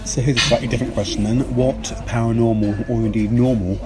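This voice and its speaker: A man's voice: medium pitch, steady tone, fast talker, over loud music. A man hosting a podcast interview in a conversational style, putting questions to his guest.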